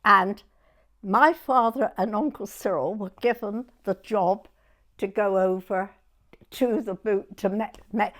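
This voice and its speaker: An elderly woman in her nineties with a southern English accent. She speaks slowly at an ordinary pitch, reminiscing in a halting, conversational way and checking now and then that her listener follows. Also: fairly bright tone. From Dorset, England. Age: 90s. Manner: halting storytelling, often checking the listener understands. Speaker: oral history interviewee.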